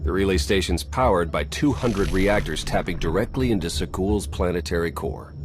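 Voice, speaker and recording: An actor playing a keen, sardonic intelligence agent in a video game, with a dry, brisk briefing manner. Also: male voice, low-pitched, noisy background, natural voice. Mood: intent, briefing.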